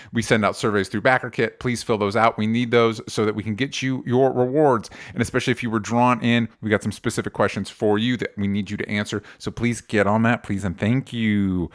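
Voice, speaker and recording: A man hosting a casual pop culture podcast, talking informally in a halting, rambling way with self-interruptions and the odd mild swear. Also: mid-range voice, fairly bright tone. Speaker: podcast host.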